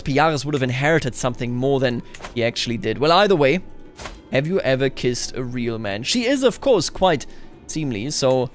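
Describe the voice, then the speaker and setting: A man commentates a video game in a casual way. His voice sits at an average pitch. He muses aloud and drifts into in-character boasting, with music playing softly behind him. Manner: musing, thinks aloud, slips into in-character boasting. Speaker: video game let's player. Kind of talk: casual roleplay gameplay commentary. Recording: background music under the voice.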